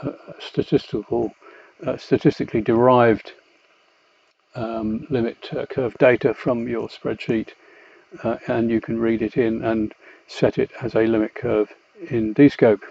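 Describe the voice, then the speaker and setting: A man explaining a technical software demonstration in a methodical, hesitant way, pausing as he goes. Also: medium pitch, flat delivery, gravelly, husky, no echo. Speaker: company executive presenting a product tutorial. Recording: slightly dull, muffled lo-fi voice track.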